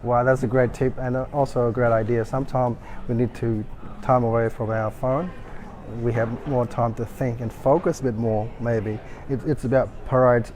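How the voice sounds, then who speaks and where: A man hosting an event interview, eager and halting, with frequent restarts and fillers. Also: mid-range voice, a little mellow, a little hiss or hum.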